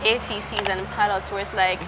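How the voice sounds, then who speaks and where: A woman's voice: medium pitch, little pitch movement, a little fast, noisy background. A woman hosting a casual interview. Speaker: podcast host, interviewer.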